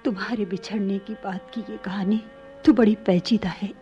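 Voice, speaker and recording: A woman's voice: mid-range voice, steady tone, normal speed, mellow, dull audio, faint music behind, lightly acted. A woman playing a princess who rules in men's clothing, commanding yet tender, with formal courtly delivery in a radio play. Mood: tender, gracious. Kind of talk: Hindi radio play acting.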